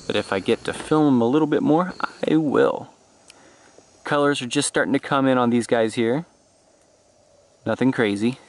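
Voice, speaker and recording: A man's voice: mid-range voice, normal speed, pauses often, no echo. A man in his thirties giving an informal, off-the-cuff walking tour on a handheld camera outdoors. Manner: informal, loosely explanatory. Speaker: farm tour vlogger. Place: outdoors in farm pens. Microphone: handheld action camera at arm's length. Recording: outdoor camera audio with noise and clicks.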